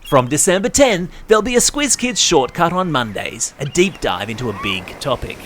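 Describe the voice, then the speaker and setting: Animated, higher-voiced Australian man hosting kids' news. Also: moderate pace, slight background noise. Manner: chatty, playful, addresses young listeners directly. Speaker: podcast host. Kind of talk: scripted news narration for children.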